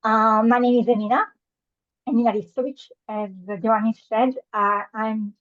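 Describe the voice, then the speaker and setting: A woman's voice: on the high side, flat delivery, normal speed, a bit bright, dry recording, dull audio. A woman from Serbia with a Serbian accent, presenting herself hesitantly at an online meeting, with many fillers and restarts. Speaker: course coordinator.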